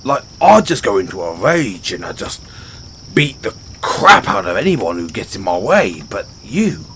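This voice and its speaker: A man playing a gruff but good-hearted orc barbarian in a fantasy audio roleplay, bashful and loyal, stumbling over his words in halting speech. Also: fairly low pitch, sing-song, fairly bright tone, slight background noise, heavily acted. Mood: admiring, fumbling. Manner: halting, fumbling speech with sheepish stammers. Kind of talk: fantasy audio roleplay, intimate one-sided dialogue. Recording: outdoor night ambience with crickets behind.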